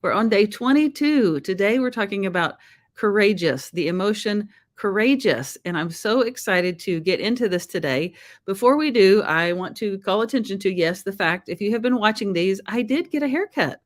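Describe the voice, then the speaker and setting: A woman's voice: medium pitch, animated, normal speed, dry recording. Chatty Southern woman hosting a video chat. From Oklahoma, USA. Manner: chatty, upbeat, laughs often. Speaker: show host.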